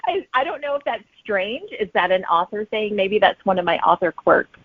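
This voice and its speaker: A woman talks as an interview guest in a chatty, casual way, at a middling pitch. She tells personal stories and voices the remarks she remembers. The audio is thin and phone-like, with no room sound. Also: very animated, normal speed, no room sound. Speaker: guest author. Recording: narrow, phone-like dry audio.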